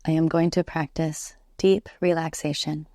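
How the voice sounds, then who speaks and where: A woman guiding a relaxation meditation as a yoga nidra instructor, soothing and unhurried, leaving long pauses between gentle instructions. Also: deep voice, flat delivery, no echo, clean studio sound.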